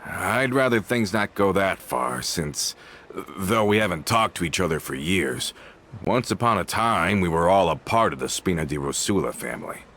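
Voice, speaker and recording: A man playing a sombre, loyal man in a video game, speaking in a measured, serious manner. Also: low voice, animated, fairly bright tone, a little hiss or hum.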